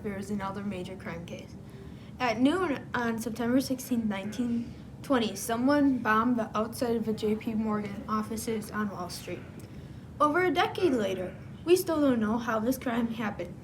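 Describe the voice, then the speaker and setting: A woman reading a scripted news report as an amateur reporter. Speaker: news reporter in a student history project. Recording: noisy, with low rumble.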